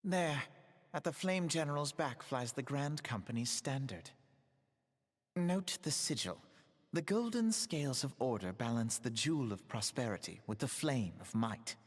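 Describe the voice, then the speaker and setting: An actor playing a knowing onlooker in a fantasy game, explaining things in measured, learned, old-fashioned phrasing. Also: male voice, mid-range voice, expressive. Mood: explanatory. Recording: long reverberant echo.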